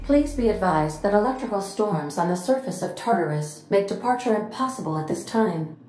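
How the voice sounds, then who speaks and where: An actress playing a polite, clinical female ship computer in a video game, speaking in formal, measured phrases. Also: medium pitch, lively intonation, a little mellow, echoey room, soft background music, close to an everyday voice, low-quality recording. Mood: calm, formal. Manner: formal, measured, matter-of-fact.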